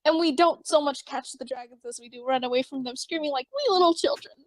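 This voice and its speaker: A woman voicing an excitable, theatrical alien man who rambles and gets flustered in a fan fiction audio drama. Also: high voice, quick pace, lightly acted, rough recording. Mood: cheerful rambling.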